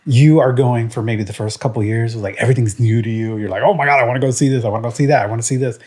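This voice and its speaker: A man's voice: medium pitch, fairly even intonation, very fast, darkish tone. A man from Puerto Rico co-hosting a podcast in casual chat, rambling with lots of "um" and "like" and speaking his mind frankly. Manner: rambling, full of "um" and "like"; frank and opinionated. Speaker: podcast co-host.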